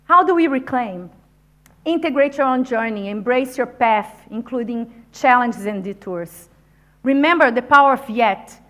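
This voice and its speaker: A woman's voice: a little high, measured pace. A woman who is not a native English speaker, giving an encouraging motivational talk to a conference audience. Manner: energetic, encouraging, addresses audience directly. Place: conference venue.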